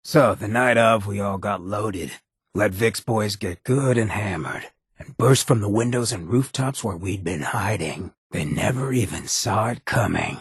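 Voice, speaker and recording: A roguish undead drifter turned rebel mayor in a video game. The voice is on the low side and shredded with gravel. He talks in a cocky, streetwise slang with a loose drawl, recorded very dry. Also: a little slow, no echo, exaggerated voice. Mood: brooding storytelling. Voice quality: very raspy, gravelly. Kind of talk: video game companion dialogue.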